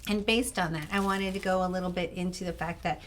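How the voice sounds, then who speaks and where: A woman's voice: lowish voice, fairly even intonation, fast, a little hiss or hum. Chatty enthusiastic woman host. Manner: chatty, enthusiastic, laughs often. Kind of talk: casual interview chat.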